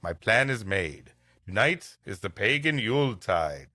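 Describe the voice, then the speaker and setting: A man's voice: on the low side, sing-song, unhurried, sharp tone, dry recording, faint music behind, pitched below the performer's own voice, heavily acted. A man voicing a zealous, resolute missionary saint from an old tale, solemn and declamatory. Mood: resolute, rousing.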